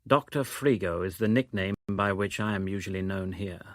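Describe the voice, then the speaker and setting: A man reading as a reserved, precise doctor narrating his own story from a novel, measured and detached. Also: deep voice, a bit bright, no room sound, clean studio sound. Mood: cool, detached.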